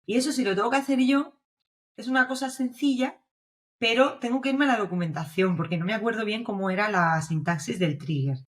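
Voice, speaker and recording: A woman's voice: medium pitch, brisk. A young woman from Spain with a Spanish accent, explaining her views as a guest in a casual podcast interview and often checking in with her listener. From Navarre, Spain. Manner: explanatory, checks in with frequent tag questions. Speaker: guest, developer advocate.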